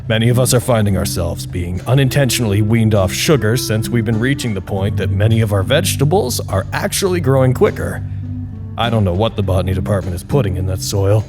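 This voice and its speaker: An actor playing a wry, deadpan announcer reading chatty status reports in a scripted audio drama broadcast. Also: male voice, lowish voice, fairly quick, lightly acted. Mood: wry, dry. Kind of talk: scripted in-character radio broadcast. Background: music.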